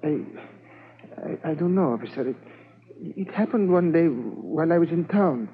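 Jittery old loner in a 50s radio play, stammers a lot, highish voice, dull hissy sound. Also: male voice, lively intonation, husky. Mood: flustered. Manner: halting, stammering, repeating words. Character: nervous, evasive, reclusive old man under police questioning. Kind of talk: 1950s radio drama acting. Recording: muffled, hissy 1950s radio transcription.

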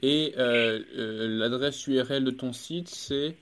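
A man interviewing a guest, asking relaxed, encouraging questions. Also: medium pitch, slow pace, heavy background noise. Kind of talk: casual interview.

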